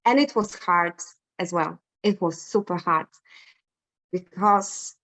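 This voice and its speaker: A woman's voice: lowish voice, takes it slowly, a bit bright, poor audio. Polish woman telling her story. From Poland. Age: 30s. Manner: chatty, candid storytelling with fillers. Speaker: guest artist. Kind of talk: casual interview on a video call.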